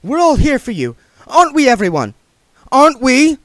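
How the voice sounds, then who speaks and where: A bossy young woman springs from the page, her voice pitched up high and full of brisk urgency, as a male narrator puts her on. The sound is close and dry, with no room around it. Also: very animated, dry recording. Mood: urgent.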